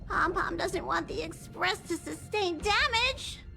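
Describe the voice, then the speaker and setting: Tiny, squeaky creature conductor. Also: female voice, lively intonation, unhurried, gravelly, pushed and bright, soft background music, full character voice. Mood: worried. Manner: speaks of itself in third person. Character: small, cute, earnest creature train conductor. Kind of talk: scripted video game acting.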